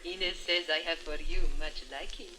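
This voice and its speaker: Bright-voiced, smitten woman. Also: lowish voice, fairly even intonation, poor audio. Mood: sweet, flirtatious. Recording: vintage 1950s radio recording.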